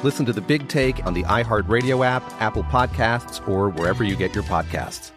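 A man's voice: fairly low pitch, fairly quick, a bit bright, faint music behind. A man hosting a news podcast reads a scripted promo.

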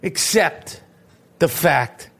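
High-voiced swearing podcast host man. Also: animated, extremely slow, creaky, a bit bright. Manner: profane, stammering restarts. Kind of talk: casual podcast chat.

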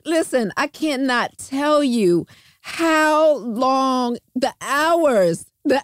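Older woman, podcast host, lively high voice, self-deprecating, quick to laugh, dry close recording. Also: dry recording. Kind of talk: casual interview chat.

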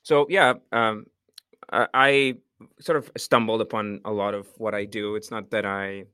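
A man's voice: lowish voice, fairly even intonation, measured pace, sharp tone, smooth, no room sound, studio quality. A man from Costa Rica, a startup founder, answering interview questions in a rambling, self-correcting way and laughing often.

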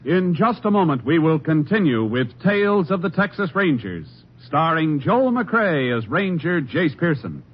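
Measured male show announcer, higher voice, dull old radio with music. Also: big pitch swings. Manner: stately, measured. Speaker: program announcer.

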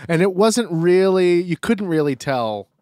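A man's voice: high voice, lively intonation, unhurried, a little mellow, clean tone, no echo, studio quality. A man co-hosting a podcast in casual chat, sarcastic and swearing freely as he riffs on a reality show. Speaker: podcast co-host.

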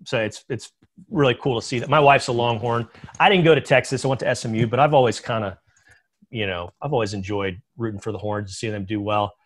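A man in his forties interviewing a guest in a relaxed, conversational way. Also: mid-range voice, fast. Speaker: interviewer, team media host. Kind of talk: casual interview chat.

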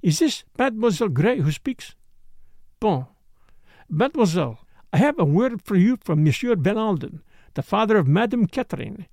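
A man's voice: sits high, very animated, moderate pace, a little mellow, dry recording, clean studio sound, exaggerated voice. A man playing a courteous middle-aged detective with a French accent and neat, precise, formal diction in an audiobook. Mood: courteous, brisk.

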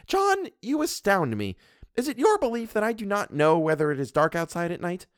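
A man's voice: high voice, huge pitch swings, normal speed, no room sound, studio quality, pitched above the performer's own voice. A man reading a story and voicing an imperious, fussy middle-aged woman who scolds and condescends. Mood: haughty scolding.

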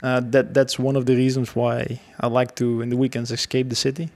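Hesitant Belgian man, gravelly voice. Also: medium pitch, little pitch movement, fairly quick, a little mellow. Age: 30s. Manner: hesitant, many fillers and restarts. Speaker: guest. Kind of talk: casual conversational interview.

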